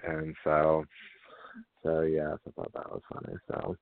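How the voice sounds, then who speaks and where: Very deep-voiced young man host, phone-line sound. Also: lively intonation, creaky. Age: young adult. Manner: halting, many fillers and restarts. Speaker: radio show host. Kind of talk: casual call-in show chat.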